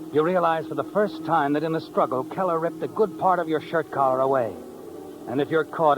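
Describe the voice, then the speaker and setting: Male actor as American 1950s radio suspense storyteller, higher-pitched, mocking, pausing for drama, heavy music underneath. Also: lightly acted, poor audio. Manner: taunting second-person address with dramatic pauses. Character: mocking, ominous male storyteller of a crime thriller. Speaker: narrator.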